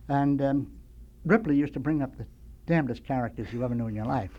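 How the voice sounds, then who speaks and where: Older American man reminiscing, rambling and halting. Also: mid-range voice, highs cut off. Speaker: radio guest, veteran sound effects man. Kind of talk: nostalgic anecdotal storytelling. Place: radio studio.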